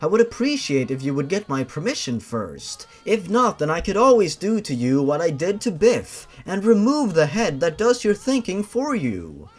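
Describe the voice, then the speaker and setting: A man's voice: high-pitched, big pitch swings, normal speed, few pauses, faint music behind. A man voicing a polite young woman from a horror fan story, in formal phrasing. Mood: cold. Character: polite young woman, kind to children, coolly menacing.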